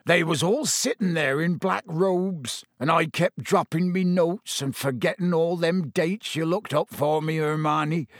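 A big, sorrowful groundskeeper with rough, dropped-letter speech, as a male narrator puts him on. His voice sits a little higher than the narrator's own. The recording is close and dry. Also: no echo. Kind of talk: audiobook character voice.

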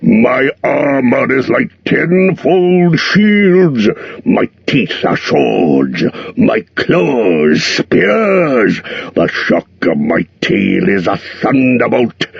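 A huge ancient dragon, slow and gloating, booming from far off in a dull lo-fi recording. His pitch swings wide and his voice sounds husky. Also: big pitch swings, no echo, exaggerated voice. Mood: boastful.

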